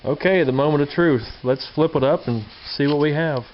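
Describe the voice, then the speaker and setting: A man's voice: fairly high pitch, fairly quick. A man giving a casual, hands-on show-and-tell as a hobbyist presenter, musing aloud in a folksy way on a handheld camera mic in a workshop. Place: indoor home workshop or garage. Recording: dull lo-fi camcorder audio with hiss.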